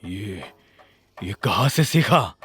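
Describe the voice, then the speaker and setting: A big, swaggering brawler from an audio drama, acted out by a male narrator. His voice drops low and gruff, boastful yet good-humoured, with music running underneath. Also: sing-song, slow, fairly bright tone, full character voice. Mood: pained, stunned. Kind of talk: Hindi audio drama character voice.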